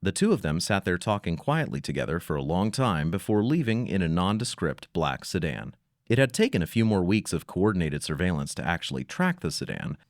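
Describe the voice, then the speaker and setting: A man's voice: low voice, big pitch swings, fairly quick, no echo, clean studio sound. A man narrating a scripted audio story in a dramatic, slightly wry manner.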